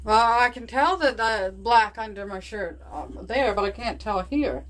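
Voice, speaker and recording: A woman's voice: on the high side, animated, unhurried, a bit bright. An older woman from Scotia, chatting and teasing in a casual home vlog filmed on a phone held at arm's length. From Nova Scotia, Canada. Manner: chatty, teasing, laughs often. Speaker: vlogger. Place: parked truck, then a home kitchen. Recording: noisy phone recording.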